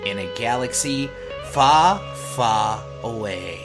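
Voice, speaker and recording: A man's voice: mid-range voice, lively intonation, slow, creaky. A man narrating a scripted promo for an audio drama-style game podcast. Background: plucked guitar music.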